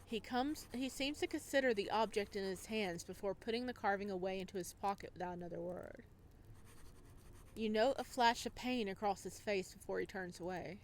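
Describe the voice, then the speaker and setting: A woman reading visual novel narration aloud as a gaming host, with an expressive delivery that can break into reactions. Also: medium pitch, normal speed, a little hiss or hum.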